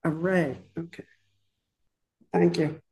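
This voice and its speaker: A woman's voice: sits low, steady tone, slow pace, warm tone. A woman relaying questions for her group in a meeting hall, polite and hesitant, checking that she has understood. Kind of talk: group discussion.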